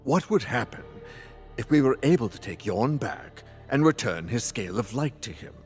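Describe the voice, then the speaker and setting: An actor playing a practical councillor in a fantasy game, speaking in a formal, purposeful way. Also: male voice, mid-range voice, expressive, moderate pace, a little mellow, soft background music, natural voice. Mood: questioning. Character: practical male council member directing hunters. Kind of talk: scripted fantasy game cutscene acting.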